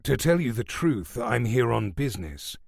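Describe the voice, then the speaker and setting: A man playing a calm, probing police detective. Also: mid-range voice, normal speed, creaky, slightly rough, no echo, clean studio sound, full character voice. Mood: matter-of-fact. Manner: level, matter-of-fact.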